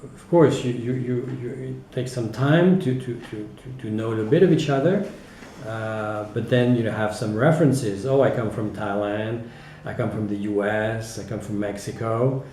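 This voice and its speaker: Man, French accent, mid-pitched mellow voice, hesitant explanatory interview style, echoey indoor room. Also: slight background noise. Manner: hesitant, halting, often checks with "okay". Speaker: teacher, interview guest. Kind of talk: interview answers, reflective explaining. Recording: echoey, roomy sound.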